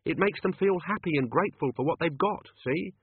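A man's voice: a little high, expressive, fairly quick, slightly rough, no room sound, pitched above the performer's own voice, exaggerated voice. A man doing a fretful old manservant. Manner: nervous, pleading, says Master often. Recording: tinny lo-fi audio.

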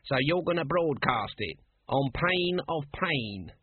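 A man's voice: fairly high pitch, expressive, takes it slowly, slightly rough, dry recording, exaggerated voice. A man voicing an officious, surly palace guard with pompous but colloquial speech in a fantasy audiobook. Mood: curt, threatening. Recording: thin, band-limited, dry phone-like sound.

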